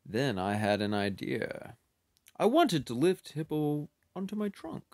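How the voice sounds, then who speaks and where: Man voicing a glum elephant storyteller; lively pitch, unhurried. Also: dry recording. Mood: earnest. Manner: slow, dramatic storytelling with sing-song sounds. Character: gloomy elephant telling his tale. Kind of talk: picture book read-aloud.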